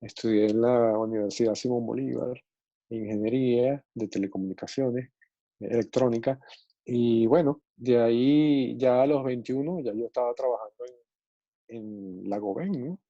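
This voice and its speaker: Mellow Venezuelan man, forties, relaxed guest storyteller, dull call audio. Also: medium pitch, dry recording. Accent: Venezuelan Spanish. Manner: relaxed, many fillers and pauses. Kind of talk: casual storytelling on a video call. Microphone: video call. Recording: muffled video call audio.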